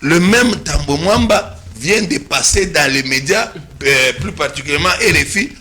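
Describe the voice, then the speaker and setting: A Congolese French-accented man with a bright, forceful voice, pitched a little high for a man. He lays out his legal reasoning step by step in a measured, explanatory way on a talk show, mixing in Lingala phrases. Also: expressive, a little hiss or hum. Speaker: political commentator. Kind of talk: studio political discussion.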